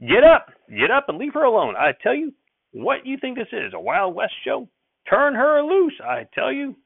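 A man voicing a bossy, cranky old male farmer grandfather who yells in a rustic dialect. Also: high voice, big pitch swings, moderate pace, gravelly, husky, no room sound, voice pushed up, heavily acted. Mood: shouting. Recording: tinny phone-like audio.

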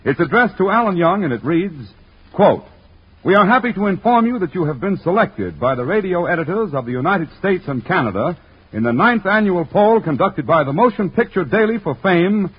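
A man's voice: high-pitched, animated, normal speed, slightly rough. A man announcing on 1940s radio, reading scripts in an emphatic, formal, salesmanlike way. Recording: dull, scratchy 1940s radio recording.

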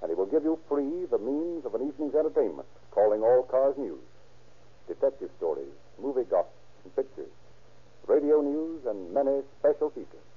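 A man's voice: medium pitch, expressive, normal speed, breathy edge. An American man narrating in a formal, dramatic, scripted 1930s radio announcer style. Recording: tinny old-time radio recording.

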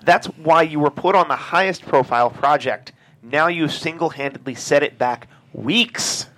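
An actor in a script table read playing a stern, disapproving corporate boss, curt and scolding. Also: male voice, on the high side, normal speed, fairly bright tone, natural voice, poor audio.

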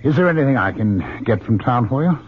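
An actor playing a mild, earnest middle-aged husband and father in an old-time radio drama, genial and measured. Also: medium pitch, lively intonation, breathy edge. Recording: dull, noisy old radio broadcast.

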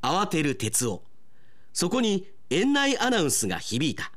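A man's voice: sits high, wildly swooping pitch, a little slow, over loud music. A man reading dramatic scripted narration for a Japanese radio drama.

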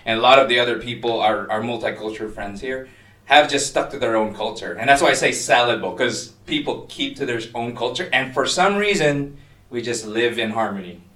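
A man co-hosting a podcast, speaking American-neutral English with frequent Filipino inflections. His voice is bright and forceful, and he talks in an animated, chatty way. The sound is roomy and echoey, picked up from a little way off the microphone. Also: mid-range voice, fairly quick, far from the mic. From Philippines. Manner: animated, chatty, laughs while teasing. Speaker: podcast co-host. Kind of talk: casual podcast chat. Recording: echoey room, voice a bit off-mic.

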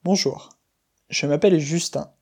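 A man in his twenties from western France, reading a scripted personal story slowly and clearly for language learners. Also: fairly high pitch, mellow. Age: 20s. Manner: slow, clear, careful reading. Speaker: guest speaker.

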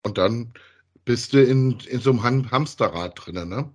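A man with an average-pitched, slightly husky voice talks casually, as on a hobby podcast. He rambles in everyday language and often tacks little tag questions onto his phrases. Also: moderate pace, a little mellow. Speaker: podcast host. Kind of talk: casual conversational review of audio dramas.

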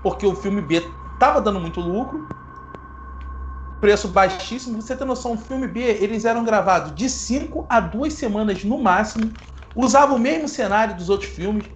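Lively host with bouncing pitch. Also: a little hiss or hum. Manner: playful, self-mocking asides. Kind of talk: casual explainer chat.

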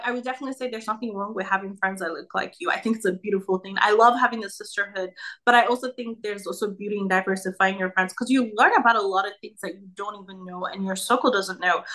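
A woman's voice: mid-range voice, bright, forceful tone, poor audio. A young woman from Nigeria chatting as a podcast guest, full of "like" and "you know". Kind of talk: casual conversation.

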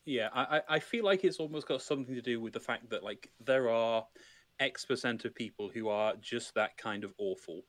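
A man's voice: medium pitch, normal speed, a bit bright, dry recording. A man hosting a casual podcast, reflecting thoughtfully on what his guest says. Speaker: podcast host.

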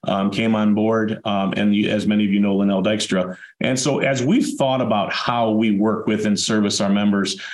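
A man hosting a webinar in a relaxed, conversational way, his voice hoarse, raspy and dry from a chest cold. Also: lowish voice, moderate pace, few pauses. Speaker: webinar host. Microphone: video call. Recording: echoey room over a video call.

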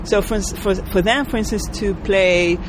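A woman's voice: medium pitch, a little slow, background noise. A woman composer from Israel, answering interview questions thoughtfully and searching for her words.

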